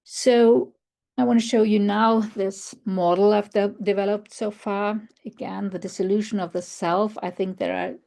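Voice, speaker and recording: A woman with a German accent, a non-native English speaker, giving an online conference presentation in a halting, thoughtful way, with many fillers and restarts. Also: medium pitch, steady tone, gravelly, dark tone. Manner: thoughtful, searching for words. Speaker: researcher presenting.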